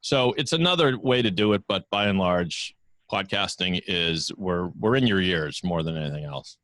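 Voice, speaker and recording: A chatty, self-deprecating man speaks into a studio microphone from his podcast studio. His voice sits very low, and the sound is close and dry with no room around it. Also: lively intonation, normal speed, a bit bright, no echo. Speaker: podcast network founder, guest. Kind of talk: casual interview over video call. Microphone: studio microphone with headphones.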